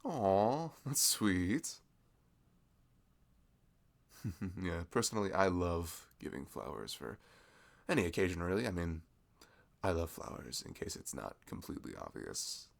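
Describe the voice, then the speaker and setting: A man's voice: low-pitched, very animated, a little slow, gravelly, pauses often. A young man doing a chatty audio roleplay as a friendly shopkeeper, laughing often between lines. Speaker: ASMR roleplay creator.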